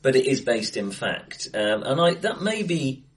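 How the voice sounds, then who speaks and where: A man with a mid-range voice talks casually as a co-host in a bright-sounding room. His phrasing is halting and stop-start, broken up by emphatic bursts. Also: mid-range voice, sing-song, noisy background. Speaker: podcast co-host. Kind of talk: casual film discussion. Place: acoustically bright room, face to face.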